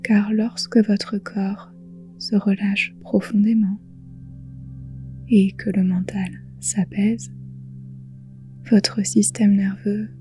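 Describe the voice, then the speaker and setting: A woman guiding a relaxation meditation in a soothing, hushed, breathy voice, leaving long pauses between phrases. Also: mid-range voice. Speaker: yoga nidra guide.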